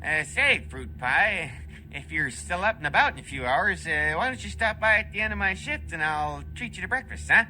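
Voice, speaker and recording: Bright, goofy security guard. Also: male voice, high-pitched, animated, normal speed, a little hiss or hum, heavily acted. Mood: friendly, flirty. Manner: folksy, bumbling, flirty. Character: goofy, friendly, dim male night security guard. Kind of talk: video game character acting.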